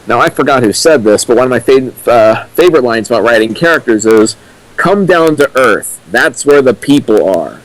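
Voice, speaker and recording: Male co-host, medium pitch, giving critical commentary in a dry, sardonic way. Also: lively intonation, moderate pace, poor audio. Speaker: co-host commenting on fan fiction.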